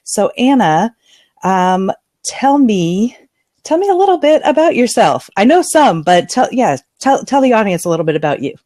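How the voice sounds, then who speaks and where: A woman's voice: mid-range voice, sing-song, moderate pace, warm tone, dry recording, clean studio sound. A woman hosting a live online talk show, chatty and enthusiastic, speaking on a video call with headphones. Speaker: host.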